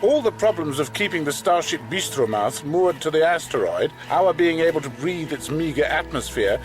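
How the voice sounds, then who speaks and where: An actor playing a pompous, grave old alien starship commander in a radio drama. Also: male voice, a little high, expressive, normal speed, over music, close to an everyday voice. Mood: lecturing.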